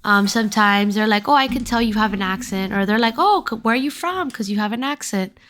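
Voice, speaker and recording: A woman's voice: mid-range voice, animated, a little fast, fairly bright tone. A woman from Venezuela with a light Venezuelan accent, a podcast guest talking hesitantly with many ums. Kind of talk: casual chat.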